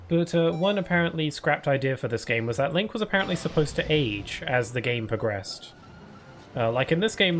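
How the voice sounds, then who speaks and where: A man streaming a game live, chatting loosely to viewers with lots of fillers and restarts, his voice what he calls a permanent cold voice. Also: medium pitch. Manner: answers viewer chat aloud. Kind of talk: casual live-stream chat while playing.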